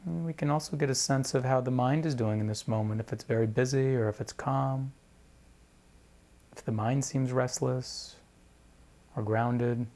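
A man leading a guided meditation as a teacher, speaking in a measured, deliberate way with pauses between phrases. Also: medium pitch, warm tone.

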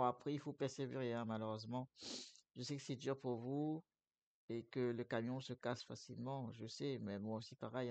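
Gravelly man with the flu, rambling. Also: medium pitch, darkish tone, no echo. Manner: rambling, hesitant, many restarts and fillers. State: has the flu. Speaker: streamer playing a trucking game. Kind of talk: casual gameplay commentary.

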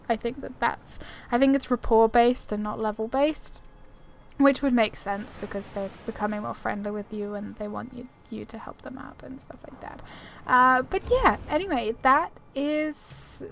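A woman's voice: a little high, fairly bright tone, a little hiss or hum. A woman giving casual, informal gameplay commentary, chatting and thinking aloud as she plays. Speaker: gaming video creator.

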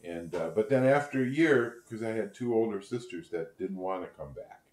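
A man's voice: on the low side, animated, moderate pace, darkish tone, echoey room, low-quality recording. An older man conducting an oral history interview, speaking reflectively, seated in a living room. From Netherlands and United States.

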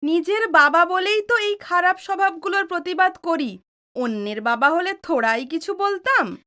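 Cheeky, high-pitched, bright young daughter. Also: female voice, expressive, normal speed, heavily acted. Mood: argumentative. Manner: argumentative, impatient, cheeky. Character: outspoken, teasing young daughter, a Gen Z office worker. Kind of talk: Bengali audio drama acting.

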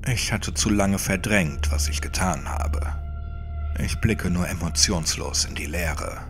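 A man narrating a first-person horror story in a dramatic, brooding audiobook style, often sinking toward a breathy whisper. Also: deep voice, measured pace. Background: music.

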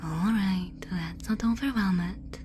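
A woman playing a composed, cautious alien scientist in an ASMR roleplay, in a soft breathy whisper with a measured, formal, reassuring manner. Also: medium pitch, faint music behind, close to an everyday voice. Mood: calm reassuring.

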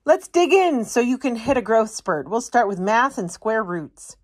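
A woman's voice: a little high, very animated, measured pace, pitched above the performer's own voice. A woman voicing friendly, encouraging woodland plants in a children's storybook. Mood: inviting.

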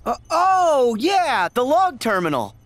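A man playing an eager, expressive teenage boy hero in a video game, with a husky voice. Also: extremely high pitch, slow, exaggerated voice. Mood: puzzled.

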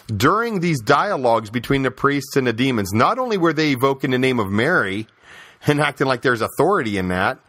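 A man's voice: mid-range voice, very animated, fairly quick, fairly bright tone, no room sound. A man hosting a podcast, giving opinionated religious commentary and storytelling in an emphatic, conversational way, with repeated phrases and rhetorical questions. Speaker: podcast host.

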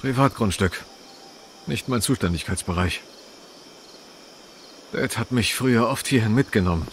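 An actor voicing a steady, encouraging young park ranger in a video game dub, casual and supportive. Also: male voice, fairly low pitch, normal speed, a little hiss or hum, natural voice. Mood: wry, nostalgic. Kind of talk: dubbed video game acting.

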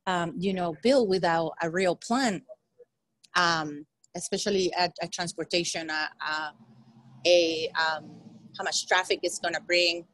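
A young woman from Colombia speaks on a panel with a slightly low voice. The sound is dry and close, through earbuds on a video call. She talks in a lively way, with frequent fillers. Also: no echo, low-quality recording. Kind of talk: panel discussion remarks. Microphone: earbud headset on a video call.

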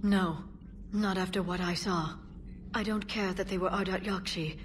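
A woman's voice: mid-range voice, steady tone, moderate pace, slight background noise, natural voice. A woman playing a young, grieving but resolute alien in a video game. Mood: sorrowful, resolute.

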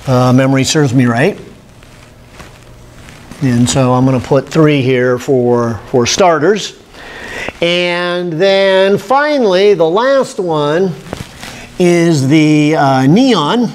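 A man, giving an informal, halting lab demonstration as an instructor, thinking aloud. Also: fairly high pitch. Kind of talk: informal demonstration lecture at a whiteboard. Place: indoor classroom lab. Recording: steady room noise, classroom camera audio.

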